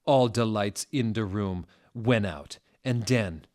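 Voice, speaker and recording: A man's voice: fairly low pitch, takes it slowly, darkish tone. A man narrating a story as a dramatic, comedic reading.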